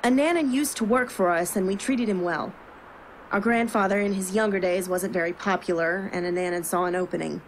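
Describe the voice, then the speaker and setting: A woman's voice: medium pitch, fairly quick, slight background noise, lightly acted, rough recording. A woman playing an earnest, burdened young royal in an audio drama. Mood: grave storytelling.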